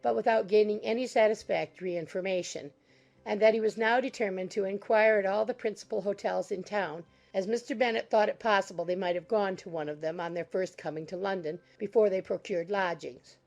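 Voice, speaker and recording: A woman narrating a period novel as an audiobook, in measured, formal scripted prose. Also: mid-range voice, vocal fry, darkish tone.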